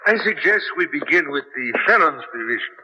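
An actor playing a formal, businesslike official in a radio drama. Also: male voice, on the high side, expressive, brisk, sharp tone, lo-fi sound, a little hiss or hum. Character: brisk, official Latin American male escort. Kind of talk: 1970s radio drama acting.

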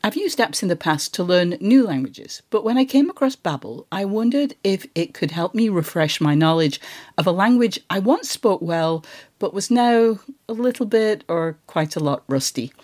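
A woman's voice: medium pitch, sing-song, moderate pace, a little mellow. A woman speaking as a podcast host, in a conversational interviewing style.

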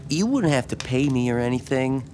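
An actor playing a lonely, timid small-town man in an audio drama, speaking haltingly with stammers and self-deprecation. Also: medium pitch, animated, natural voice. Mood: eager, nervous. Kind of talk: naturalistic audio drama acting. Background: music behind the voice.

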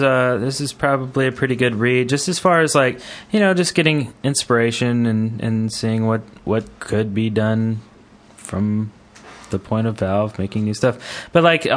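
A man's voice: mid-range voice, normal speed, hardly any pauses. A man co-hosting a gaming podcast in casual chat, talking in a hesitant, meandering way. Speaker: podcast co-host.

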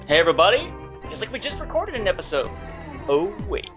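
A man's voice: high-pitched, big pitch swings, fast, over music. Male podcast co-host on dull audio. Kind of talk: casual podcast talk and reading listener feedback.

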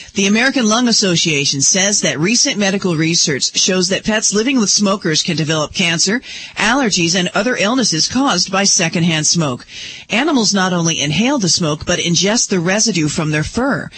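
A woman's voice: on the low side, fairly bright tone, slight background noise. A woman reporter reading a scripted radio news report.

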